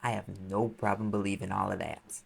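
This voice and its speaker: A man's voice: on the low side, fairly even intonation, fairly quick, creaky, breathy edge, exaggerated voice. A man playing a sarcastic, impatient private eye mage in an audio roleplay, explaining things in a conversational, exasperated way. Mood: wry, explaining. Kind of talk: audio roleplay acting, one side of a dialogue.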